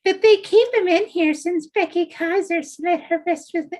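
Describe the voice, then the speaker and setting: A woman playing a very high-voiced, childlike young patient. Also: a little slow, darkish tone. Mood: matter-of-fact. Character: childlike, excitable, admiring young female psychiatric patient. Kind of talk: live screenplay table read.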